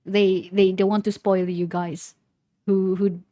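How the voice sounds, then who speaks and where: A woman's voice: mid-range voice, level tone, takes it slowly, mellow, dry recording. A woman giving casual live reaction commentary as a streamer, hesitant and repeating words as she thinks aloud. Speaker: streamer reacting to a game trailer. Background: faint music from the trailer.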